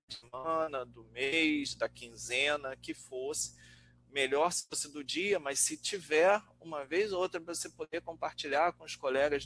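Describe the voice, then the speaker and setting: A Brazilian man, a researcher and teacher, talking informally and reflectively in a webinar, halting as he thinks aloud. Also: a little high, fairly bright tone. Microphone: online webinar call. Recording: noisy webinar audio with hum.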